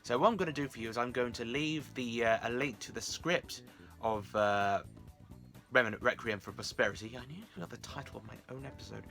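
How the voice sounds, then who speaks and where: A man's voice: medium pitch, creaky, fairly bright tone. A British man chatting casually to camera and giving beginner advice in a rambling, wry, self-deprecating way. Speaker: amateur audio drama creator and vlogger.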